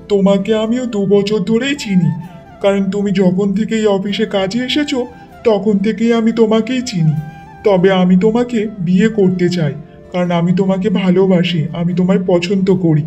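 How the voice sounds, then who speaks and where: Cartoon role, male actor: sincere boss in love, mellow, very high-pitched, distant dull sound with light music. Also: fairly even intonation, lightly acted. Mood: earnest, tender. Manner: earnest, gentle, deliberate. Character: earnest office boss courting his cook. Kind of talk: Bengali cartoon story acting. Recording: muffled, distant, music behind.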